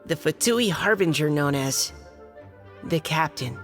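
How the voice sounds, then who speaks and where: A woman's voice: low voice, expressive, unhurried. A woman voicing an earnest, shy, polite young boy knight in a video game, reading aloud carefully and formally. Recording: long hall reverb. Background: background music.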